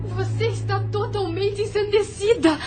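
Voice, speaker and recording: An actress playing a gentle, sheltered young bride-to-be in an audio novel, earnest in manner. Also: female voice, very high-pitched, steady tone, unhurried, over music. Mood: indignant bewilderment.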